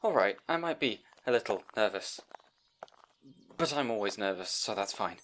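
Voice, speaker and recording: A man's voice: fairly low pitch, lively intonation, moderate pace, fairly bright tone, slight background noise. A man playing a timid, bookish young scribe in an audio roleplay, speaking to the listener in an anxious, hesitant, stammering way. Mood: anxious, earnest.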